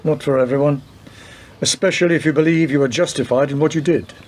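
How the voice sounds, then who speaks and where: An actor playing a weary, wry senior police detective in a radio play, speaking in a dry, deliberate way. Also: male voice, on the high side, fairly even intonation, lightly acted. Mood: musing.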